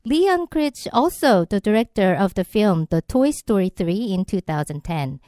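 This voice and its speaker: Korean woman host, 30s, mid pitch, dry close recording. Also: moderate pace, no echo. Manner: friendly, relaxed, laughs often. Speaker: podcast host. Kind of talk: casual podcast chat.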